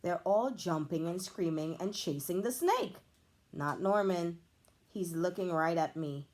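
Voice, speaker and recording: A woman, a teacher, reading a children's picture book aloud with expressive, animated storytelling. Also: lowish voice, unhurried.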